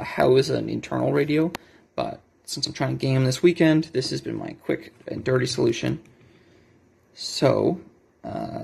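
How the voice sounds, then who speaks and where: A man's voice: medium pitch, vocal fry, a little mellow, breathy edge. A man giving a casual, unscripted show-and-tell as a hobbyist, hesitant and correcting himself, recorded on a handheld cell phone indoors at a desk. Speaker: hobbyist video maker.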